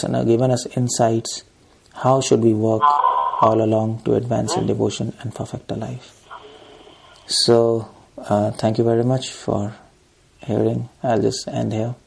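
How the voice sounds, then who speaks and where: A man's voice: medium pitch, creaky, darkish tone, a little hiss or hum, rough recording. A man with an Indian accent lecturing on scripture as a preacher, reciting verses and driving points home in short, repeated phrases. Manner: emphatic, repetitive phrasing in short bursts.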